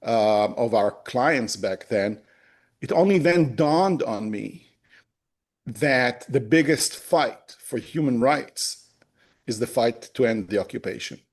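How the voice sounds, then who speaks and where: A man in his fifties from Israel, a native Hebrew speaker, talking as a guest in an interview, pausing often with fillers and restarts. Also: medium pitch, expressive. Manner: hesitant, many fillers and restarts. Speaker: human rights lawyer, guest. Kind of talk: conversational interview.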